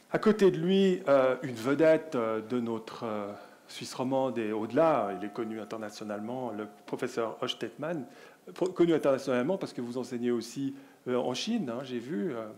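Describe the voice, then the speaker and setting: Swiss French panel moderator, jovial. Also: male voice, medium pitch, darkish tone. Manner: jovial, hesitant with many fillers. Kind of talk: introducing panelists. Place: conference hall.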